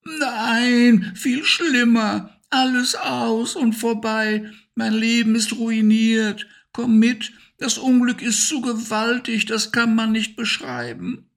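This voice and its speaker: Male reader as a tiny dramatic hamster, high and squeaky, quick to wail. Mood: panicked. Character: small, anxious, tearful male hamster. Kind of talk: storybook reading.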